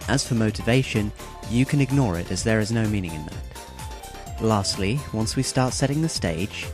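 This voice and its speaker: A man playing a polite young game organizer in an audio drama, speaking in a formal, measured, explanatory way. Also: lowish voice, expressive, music in the background, close to an everyday voice. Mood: composed, formal. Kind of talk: anime-style audio drama acting.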